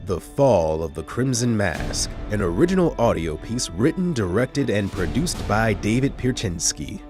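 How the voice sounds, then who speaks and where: A man's voice: lowish voice, sing-song, a little slow. A man announcing in a scripted, formal credits style. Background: loud music.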